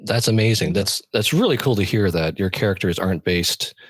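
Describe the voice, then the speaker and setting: A man's voice: fairly low pitch, expressive, a little fast, no room sound. A man hosting a casual interview, responding in an affirming, conversational way. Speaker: podcast host.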